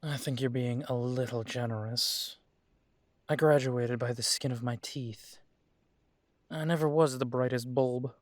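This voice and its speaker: A young man playing a restless grown son coming home, halting at first and then pressing. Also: medium pitch, fairly even intonation, no room sound, natural voice. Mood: wry. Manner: hesitant, then pressing and insistent.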